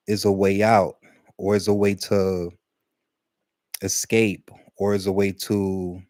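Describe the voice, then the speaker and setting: A man teaching English to adult learners in a loose, rambling talk, full of fillers and slowing down to stress key points. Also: on the low side, a little mellow, dry recording, clean studio sound. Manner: conversational, many fillers, speeds up and slows for emphasis. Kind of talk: casual rambling lesson talk.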